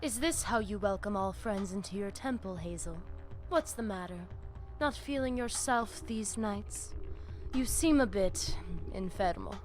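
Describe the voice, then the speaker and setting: Casting: actress for female vampire pack leader, video game. Commanding, oratorical, haughty, medium pitch, faint music underneath. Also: expressive, close to an everyday voice. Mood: scornful, taunting. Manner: measured, oratorical, with Spanish words mixed in.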